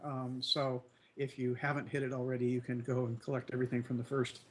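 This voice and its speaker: A co-host of a casual online news chat show, slightly nasal and croaky from a cold, rambling with many fillers. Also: male voice, medium pitch, monotone, brisk. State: congested, recovering from flu.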